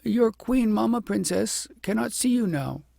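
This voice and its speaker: A man's voice: high-pitched, lively intonation, unhurried, vocal fry, dark tone, dry recording, studio quality, voice pushed up, full character voice. A man voicing a princess's nurse in an audiobook, a female servant who is polite, formal and firm. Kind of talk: audiobook character voice.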